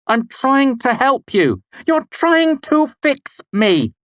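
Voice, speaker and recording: A sharp-tongued young woman bard, pitched high and pushed loud, her words scornful and heated, as a male audiobook narrator puts her on. The recording is dry and close. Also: big pitch swings, takes it slowly, no room sound, heavily acted. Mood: furious.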